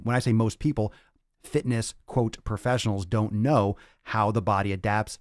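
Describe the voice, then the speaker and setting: Blunt, low-voiced male fitness coach. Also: darkish tone, no echo, studio quality. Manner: blunt, emphatic, profane, repeats phrases for emphasis. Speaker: fitness coach hosting a podcast. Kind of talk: motivational rant to livestream audience.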